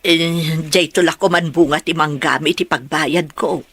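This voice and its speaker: A woman's voice: low voice, steady tone, measured pace, a bit bright, husky, exaggerated voice. A woman acting an easygoing villager in an audio drama, with a Filipino Ilocano accent. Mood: casual.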